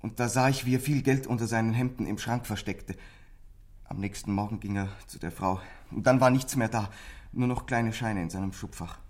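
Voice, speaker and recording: An actor playing a troubled teenage boy in a German radio crime drama, bitter and frightened, with a husky, breathy voice and an intense, halting, confessional delivery. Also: on the low side, darkish tone, close to an everyday voice. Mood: bitter, confiding.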